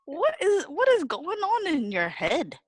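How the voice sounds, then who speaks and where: A woman's voice: extremely high pitch, slow pace, a little hiss or hum. A young woman co-hosting a casual podcast chat, swearing freely and acting out what people said to her as she tells stories. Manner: sweary, animated storytelling with acted-out quotes. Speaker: podcast host. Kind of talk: casual, unfiltered group chat.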